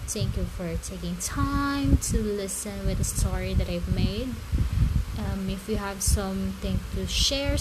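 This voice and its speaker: A woman with as her chatting casually with her listeners about her story, self-conscious and rambling, with many restarts. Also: medium pitch. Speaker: amateur story writer and reader. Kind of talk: casual late-night chat to listeners. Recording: loud background noise.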